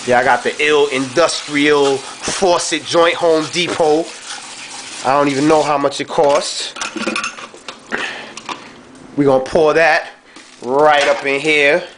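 A man's voice: fairly high pitch, steady tone, takes it slowly, pauses often, background noise. Laid-back slangy young man cooking. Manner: laid-back slangy chatter, frequent "you know what I'm saying". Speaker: home cook vlogger. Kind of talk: casual cooking vlog. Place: dim home kitchen, indoors. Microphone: handheld camera mic held close.

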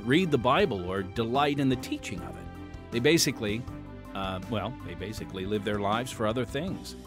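A man hosting a podcast, talking conversationally with fillers before introducing the episode. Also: medium pitch. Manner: conversational, with fillers and dramatic shifts. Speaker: podcast host. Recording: music bed under the voice.

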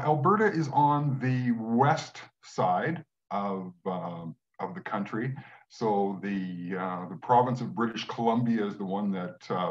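A man in his sixties from Western Canada, speaking in a measured way with many fillers on a video call from home. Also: on the low side. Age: 60s. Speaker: guest. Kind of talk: casual video call conversation. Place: home room. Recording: dull lo-fi webcam audio.

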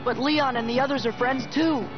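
Teen boy hero, high-pitched, youthful, spirited, headstrong, game cutscene, muffled audio. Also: fairly even intonation, fairly quick, over music. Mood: earnest. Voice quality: youthful, boyish.

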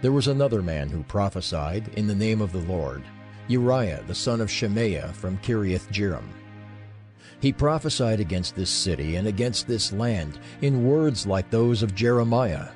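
A male narrator reads scripture in a somewhat low voice. Soft music runs beneath his scripted storytelling. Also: lively intonation, moderate pace.

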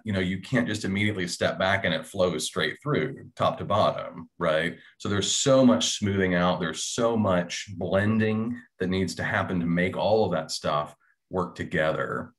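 A man in his thirties with a low voice speaks as a panelist. He discusses things casually, like a writer among colleagues on a video call. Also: moderate pace, fairly bright tone. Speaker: panelist, audio drama writer. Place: indoor room at home, video call.

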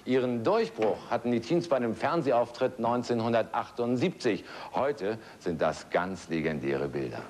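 A middle-aged man hosting a TV show in a large studio, presenting in a brisk, chatty way with rhetorical asides. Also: medium pitch, lively intonation, darkish tone. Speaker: TV show host. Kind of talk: lively presenting.

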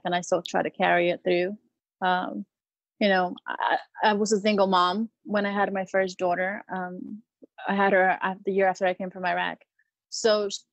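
Woman in her 30s, from peru, talks kinda flat and halting, dry close sound. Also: mid-range voice, no echo. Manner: hesitant, many ums and restarts. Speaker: guest, Marine veteran and artist. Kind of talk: casual interview conversation.

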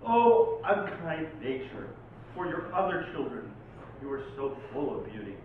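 A man's voice: a little high, sing-song, unhurried. A man who is a poet, performing at a live reading in a theatrical, dramatic manner. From Oregon, United States. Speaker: poet reading own poems. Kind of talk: live poetry reading with informal introductions. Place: live poetry night venue, recorded from a distance. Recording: tinny, very echoey, distant, faint noise.

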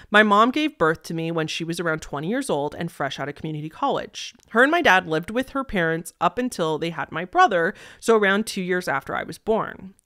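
A young woman speaks in a slightly low voice, close to the microphone in a dry-sounding room. She has the casual, expressive delivery of an online host reading stories aloud and chatting about them. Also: no echo. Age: young adult. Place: small decorated indoor room. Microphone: close desk microphone.

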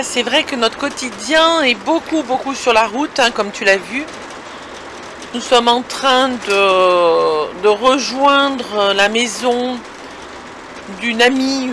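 Female vlogger, high and bright, informal and chatty, recorded in a camper cab with some road noise. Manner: chatty, addressing viewers directly. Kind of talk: casual vlog narration while driving. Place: inside a moving or parked camper van. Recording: vehicle engine and road noise.

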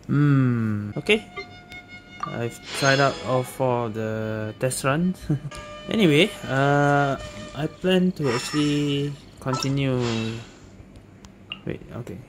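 Low-voiced woman gamer thinking aloud over music. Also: takes it slowly. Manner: thinks aloud in short reactive phrases. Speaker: video game streamer. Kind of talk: casual gameplay commentary. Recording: game music behind the voice.

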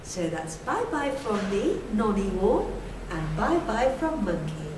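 A woman in her thirties telling a rhymed children's story in a prim, deliberate 1940s television presenter manner. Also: mid-range voice, sing-song, crowd noise. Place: small bare brick-walled room indoors. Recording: echoey room, distant mic, noisy.

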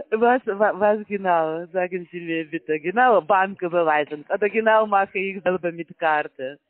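A woman's voice: lowish voice, a little slow, dry recording. A woman working as a call center agent on a phone call, talking in broken grammar with constant hesitant fillers. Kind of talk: phone sales pitch. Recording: tinny dry phone line.

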